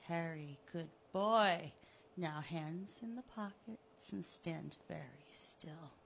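A sadistic killer who gloats over his captive, purring threats in a slow, mocking drawl, as a woman puts him on. The voice is gravelly with fry, and the delivery crawls. Quiet menace sits under the teasing. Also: fairly low pitch, slight background noise, voice pushed down. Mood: taunting. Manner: mocking, softly menacing, drawn out.

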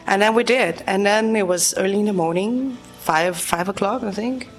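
A woman's voice: medium pitch, normal speed, soft background music. Chatty Brazilian-born woman musician. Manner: chatty, full of fillers. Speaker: guest musician. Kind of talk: casual interview chat.

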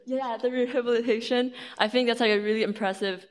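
A young adult woman speaking as a student in an informal thank-you, halting and often saying "like". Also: fairly high pitch, fairly even intonation. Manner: halting, heavy use of "like".

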